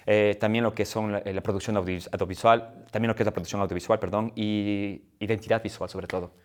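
A young man in his twenties, a guest talking quickly and agreeably, often adding "¿sabes?". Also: on the low side, fairly even intonation. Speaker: guest, fitness YouTuber. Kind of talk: conversational interview.

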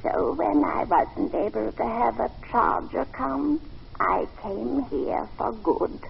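Old radio matriarch: very gravelly, haughty, querulous, slow and swooping, on a dull, noisy recording. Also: female voice, fairly high pitch, lively intonation, exaggerated voice. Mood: grand, wistful. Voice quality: creaky, aged. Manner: haughty, grande-dame, drawn-out phrasing. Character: imperious, querulous old matriarch, at least eighty, shadowy grand lady. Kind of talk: old-time radio drama acting.

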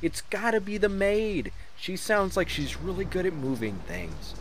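A childish, conceited author, acted by a man in a comedy audio play. His fairly high voice swings widely as he blusters pompously, with faint noise in the recording. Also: animated, unhurried. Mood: smug. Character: conceited, defensive hack author, childish and lazy. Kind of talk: comedic audio drama acting. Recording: noisy amateur mix, faint hiss.

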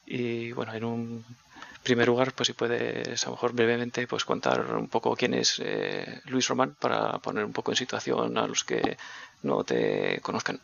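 A man hosting a live radio talk show, speaking in an unhurried, hesitant way. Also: medium pitch, animated, creaky, fairly bright tone. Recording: dull lo-fi sound.

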